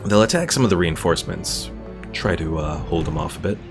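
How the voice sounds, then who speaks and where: A man's voice: sits low, expressive, a little fast, vocal fry, music in the background. A man streaming a video game, giving laid-back, casual commentary as he thinks aloud and reads viewer comments.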